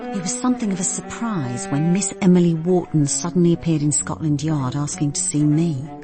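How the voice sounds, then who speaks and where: A woman's voice: deep voice, a little fast, natural voice. Direct, keen policewoman, played by an actress, over music. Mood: reflective. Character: female police detective, junior officer, brisk and keen. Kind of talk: radio drama acting and narration.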